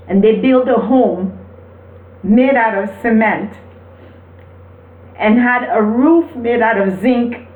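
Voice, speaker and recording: A woman from Cameroon gives an earnest, encouraging motivational talk with personal storytelling, speaking deliberately as the host of a video. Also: fairly high pitch. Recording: roomy, distant mic, thin phone-like sound.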